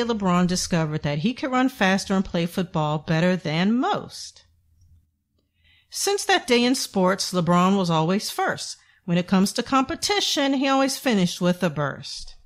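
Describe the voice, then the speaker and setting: Female narrator for a kids' read-aloud book, mid-pitched, animated intonation, deliberate phrasing.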